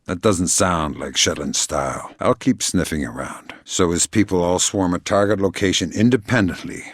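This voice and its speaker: A hardened covert spy from a video game, with a very deep, gruff voice. He speaks tersely, with deadpan sarcasm. The sound is close and dry, with no room on it. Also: normal speed, no room sound. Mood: dry, sardonic. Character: hardened, sardonic male covert spy operative. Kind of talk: video game character dialogue.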